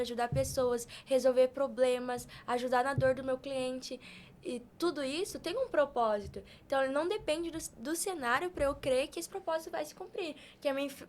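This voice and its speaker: A woman's voice: high voice, slight background noise. A Brazilian woman talking conversationally as a podcast guest, with an earnest, emphatic, colloquial manner about her. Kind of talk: conversational faith-based motivational talk.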